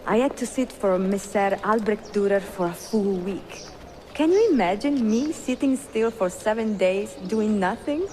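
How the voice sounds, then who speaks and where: An actress playing a bookish, spirited young Italian woman bookseller, friendly and expressive, with an Italian accent, in a dramatic game cutscene. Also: mid-range voice, a little slow, a little hiss or hum, close to an everyday voice. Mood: playful.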